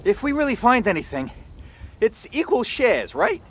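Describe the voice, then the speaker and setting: An actor playing a nerdy, wary young soldier in a video game, wordy and sarcastic. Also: male voice, extremely high pitch, big pitch swings, moderate pace, soft background music. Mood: doubtful.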